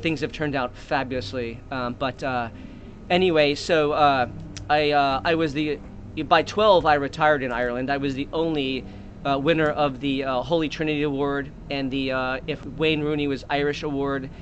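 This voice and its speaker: Male comic storyteller, rambling and mock-earnest, mid-pitched, faint noise behind. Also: fairly even intonation, moderate pace, hardly any pauses, dull audio. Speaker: comedic storyteller. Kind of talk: improvised comic monologue.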